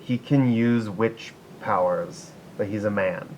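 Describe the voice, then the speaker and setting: A man's voice: on the low side, slow pace, darkish tone, a little hiss or hum, rough recording. A man co-hosting a podcast, retelling myths in an informal, jokey way. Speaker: podcast co-host. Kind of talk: casual chat retelling myths.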